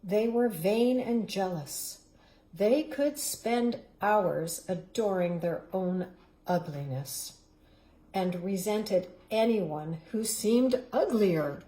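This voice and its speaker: A woman reading a children's storybook aloud, expressive and savoring each word, stopping for playful asides to her young listeners. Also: mid-range voice, takes it slowly. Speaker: local councillor reading a children's book. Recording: echoey room.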